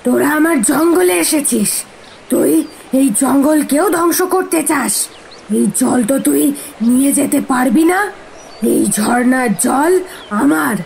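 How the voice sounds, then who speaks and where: A woman voicing a fierce, threatening mermaid who guards her forest spring in an animated fairy tale. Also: high-pitched, takes it slowly, gravelly, a little hiss or hum, heavily acted. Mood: angry, accusing. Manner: threatening, accusatory.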